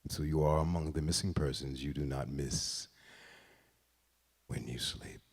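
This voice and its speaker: A man reads a literary story aloud in a deliberate, expressive way, pausing between phrases. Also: a bass voice, slightly rough. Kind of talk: dramatic literary reading.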